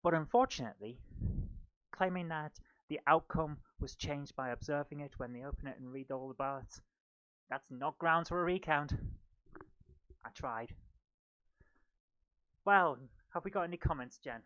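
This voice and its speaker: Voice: medium pitch, moderate pace, long pauses, fairly bright tone, dry recording, muffled recording. A stand-up comedian hosting a live show in a rambling, self-deprecating manner. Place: small book-lined room at home.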